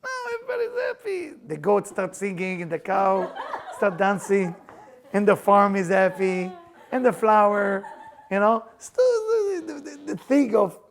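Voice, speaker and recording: A middle-aged man with an Israeli accent, hosting a casual chat and telling personal stories in a playful, animated way, often laughing as he talks. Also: high-pitched, unhurried, creaky, slight background noise. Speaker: spiritual teacher and podcast host.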